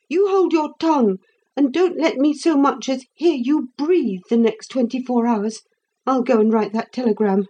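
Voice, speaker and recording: Woman voicing a snappish high-pitched nurse. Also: moderate pace, dark tone, slightly rough, dull audio. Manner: snappish, scolding. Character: sharp, resentful female children's nurse.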